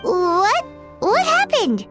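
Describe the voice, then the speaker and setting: A woman voicing a tiny, excitable, childlike fairy companion in a video game, with a squeaky, piercing voice and exclamatory manner. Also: very slow, full character voice. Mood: astonished. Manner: speaks of self in third person, exclamatory. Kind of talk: animated video game voice acting. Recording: music behind the voice.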